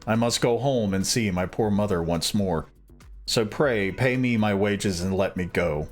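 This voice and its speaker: A simple, cheerful young servant from an old fairy tale, voiced by a man reading aloud. The voice sits low and easygoing, like a storybook read at bedtime, with faint music underneath. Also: measured pace.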